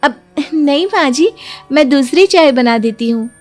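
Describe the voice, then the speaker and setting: A put-upon young wife in a storybook household, played by a woman, with a high voice. She sounds sulky and resentful as she answers back. Light music runs behind her. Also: animated, a little slow, a little mellow. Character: put-upon, resentful young daughter-in-law in a family tale. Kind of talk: animated Hindi moral story dialogue.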